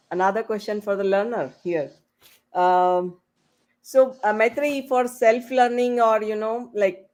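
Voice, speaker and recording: A woman hosting a panel discussion on a video call, asking rambling questions in a casual, halting way with many "you know"s. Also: mid-range voice, a little mellow. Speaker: host and moderator of a panel podcast. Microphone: online video call.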